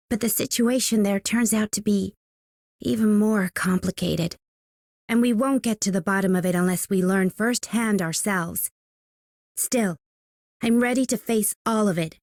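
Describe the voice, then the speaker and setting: A woman's voice: mid-range voice, darkish tone, no echo, studio quality, lightly acted. A woman voicing a resolute, idealistic female knight in scripted video game lines.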